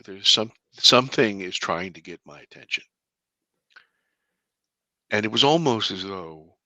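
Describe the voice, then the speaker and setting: Deep-voiced male interview guest, earnest storyteller, low pitch, bright forceful tone. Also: no echo. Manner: earnest, halting with restarts, bursts of excitement. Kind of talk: conversational storytelling.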